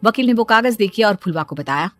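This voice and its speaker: Female storyteller, scripted cartoon narration, medium pitch, soft music behind. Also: expressive, brisk.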